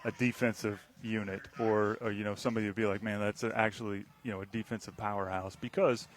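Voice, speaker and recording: A man calling a high school football game on local radio, chatty and rambling, teasing his broadcast partner. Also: fairly even intonation, fast. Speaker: play-by-play announcer.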